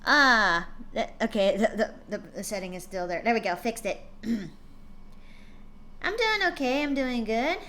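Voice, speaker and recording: A woman's voice: on the high side, animated, a little slow, bright, forceful tone. A woman hosting a live stream, chatting casually with lots of fillers and restarts before reading fan fiction aloud.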